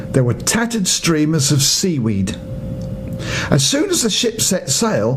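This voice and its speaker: An older man reading a children's bedtime story aloud, with dramatic emphasis and drawn-out phrases. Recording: noisy home recording with low rumble.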